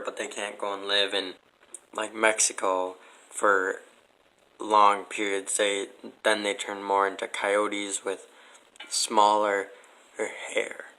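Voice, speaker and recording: A man's voice: on the low side, pushed and bright, poor audio. A man as a podcast guest in casual debate, speaking in a skeptical, blunt, sardonic manner. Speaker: podcast guest answering questions.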